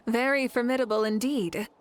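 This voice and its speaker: An actress playing a composed, confident warrior leader in a video game, with a measured, poised delivery. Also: female voice, on the high side, a little hiss or hum.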